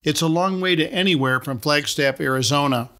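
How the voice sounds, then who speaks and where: A middle-aged man reading his own story aloud as a podcast host, in a measured, wry storytelling manner. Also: on the high side, sharp tone. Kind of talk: scripted storytelling narration.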